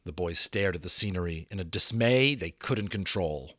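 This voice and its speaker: Expressive low-voiced male storyteller. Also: dry recording. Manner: expressive with theatrical pauses. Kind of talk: dramatic audiobook reading. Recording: dry close recording.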